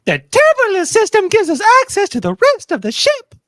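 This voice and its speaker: A woman's voice: very high-pitched, huge pitch swings, normal speed, no room sound, studio quality, heavily acted. A woman playing an impatient, combative starship officer in an amateur sci-fi radio play.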